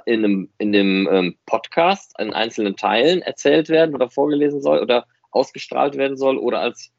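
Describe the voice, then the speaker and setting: A man's voice: medium pitch, brisk, creaky, dry recording, highs cut off. A man chatting casually as a podcast host, conversational and often checking back with short tag questions. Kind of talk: casual chat, reminiscing about a fan project.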